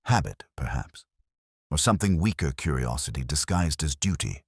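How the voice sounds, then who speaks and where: A man playing a melancholy, reflective police inspector who narrates a case in a measured, literary way, as in a scripted audio drama. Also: extremely low pitch, big pitch swings, vocal fry, husky, no room sound, studio quality, exaggerated voice. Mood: somber, reflective.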